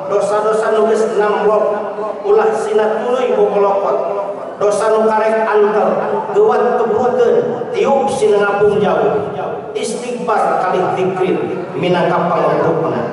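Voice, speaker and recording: A middle-aged Sundanese-accented preacher with a high voice gives a rhythmic, measured Eid sermon. He stands at a lectern mic in a large mosque. He is picked up from a distance, with long reverb and a murmuring congregation behind him. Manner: measured, rhythmic oratory with Arabic phrases. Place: large mosque hall full of worshippers. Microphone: stand mic at a lectern, filmed from far. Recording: echoey mosque hall from far off. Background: crowd of worshippers.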